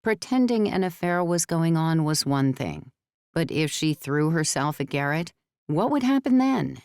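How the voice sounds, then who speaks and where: A woman narrating scripted fiction as an audiobook, in a measured storytelling manner. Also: sits low, animated, darkish tone, smooth, dry recording, clean studio sound.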